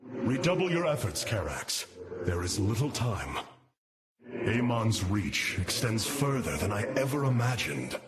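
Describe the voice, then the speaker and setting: An actor playing a solemn, noble alien warrior leader in a video game, speaking in a formal, stately manner. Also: male voice, fairly low pitch, very animated, unhurried, background noise. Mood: urgent, grave. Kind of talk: video game cutscene acting. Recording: loud music behind the voice.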